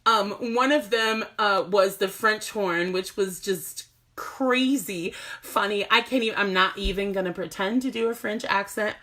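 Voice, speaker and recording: A woman's voice: medium pitch, a little slow, fairly bright tone. Woman music teacher, chatty and easygoing, laughs a lot and says um often. Mid-range voice. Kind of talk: casual instructional video. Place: at home.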